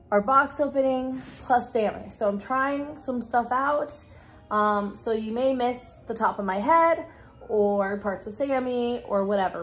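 A woman in her thirties hosting a casual vlog, raspy and low on energy from a cold, chatting with many fillers in her living room. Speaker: vlog host. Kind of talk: casual chat to camera.

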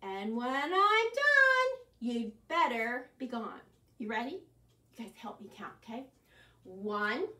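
A woman in her sixties, a children's librarian leading an animated, sing-song storytime from an armchair in a living room. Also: high-pitched. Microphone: camera at a distance. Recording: echoey room, far from the mic.

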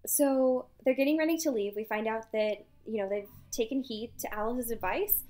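A woman co-hosting a podcast, casually recapping a story in an animated way and acting out bits of dialogue. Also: a little high, normal speed. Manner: animated retelling, acts out dialogue. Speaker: podcast co-host. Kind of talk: casual chat recapping a novel.

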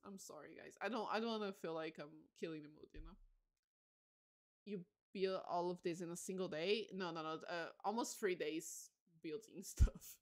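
A woman in her late twenties, a bit tired, chatting casually and candidly as she livestreams a game, giggly and self-deprecating. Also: lowish voice. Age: late 20s.

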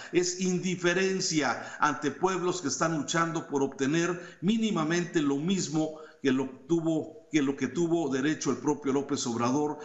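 A middle-aged man reads and comments on newspaper columns, measured and with small hesitations, over a headset on a video call from a home study. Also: fairly high pitch, fairly bright tone, reverberant. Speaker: news commentator reviewing opinion columns. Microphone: headset mic on a video call.

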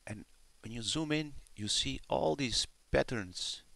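A man's voice: medium pitch, expressive, measured pace, creaky, no room sound. A man giving casual, off-the-cuff commentary on a screen recording, in an amused manner and with casual swearing. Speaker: narrator of a screen demonstration. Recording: hissy with loud radio static. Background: shortwave radio static and signals.

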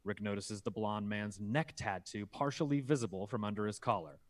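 A man with a fairly low voice narrates a screenplay. He reads scene headings and stage directions as scripted narration on a group video call. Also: normal speed.

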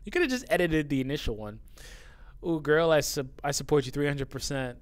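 Blunt young-sounding man with a smooth voice. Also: a little high, animated, a little fast. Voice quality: youthful-sounding voice. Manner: blunt, swears often, gets heated. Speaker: YouTuber reading viewer comments. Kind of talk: casual talk to camera.

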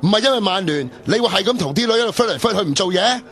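A man's voice: sits high, animated, very fast, a bit bright, slightly rough, exaggerated voice. A man with a Hong Kong Cantonese accent playing a meddlesome, teasing young coworker in a radio drama. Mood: needling. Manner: teasing, provoking. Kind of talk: colloquial radio drama acting.

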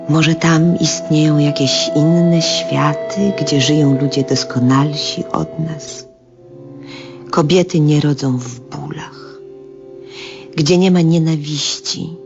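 Slow, lyrical devoted woman. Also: low voice, heavily acted. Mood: tender, visionary. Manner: solemn, tender, lyrical, measured. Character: devoted, idealistic woman companion of an astronomer. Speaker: actress in a radio play. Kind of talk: 1970s Polish radio drama monologue. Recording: dull vintage radio recording. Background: music.

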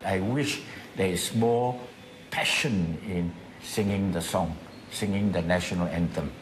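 An older man, a former teacher, speaking earnestly in an interview and breaking into sung phrases. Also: deep voice. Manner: earnest, slow, sings phrases. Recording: interview with music underneath.

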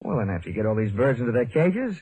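A man's voice: mid-range voice, sing-song, quick pace, heavy background noise, close to an everyday voice. An American man acting a wry, hard-boiled insurance investigator in an old-time radio detective drama. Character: wisecracking, tough male insurance investigator. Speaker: lead actor and narrator. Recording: old radio broadcast with music.

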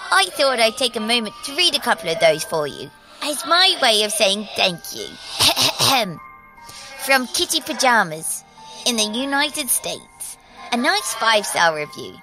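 A woman's voice: fairly high pitch, rough recording. A woman voicing a creepy, playful, mysterious little girl with a childlike, teasing sing-song voice in an audio drama. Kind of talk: in-character audio drama address to listeners. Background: music under the voice.

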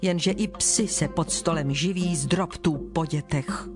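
Woman acting humble pleading mother, low voice. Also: close to an everyday voice. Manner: deferential, pleading. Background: music.

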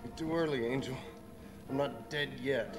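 A man's voice: medium pitch, normal speed, lightly acted. An actor playing a wounded, stubborn young gunman in a video game cutscene, weary and defiant. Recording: game audio with music behind.

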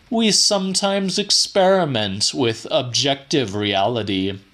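Man acting a slow, pompous supernatural killer. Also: medium pitch, a bit bright. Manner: pompous, theatrical. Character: gloating, grandiose male supernatural killer addressing his audience.

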